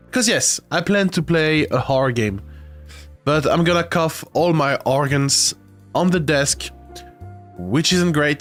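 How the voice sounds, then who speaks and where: Lively-voiced sick man chatting on stream. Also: on the high side. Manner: chatty, swearing, self-mocking, with filler sounds. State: sick with a cold, congested and rough-throated. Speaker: streamer playing a video game. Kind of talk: casual live-stream chat.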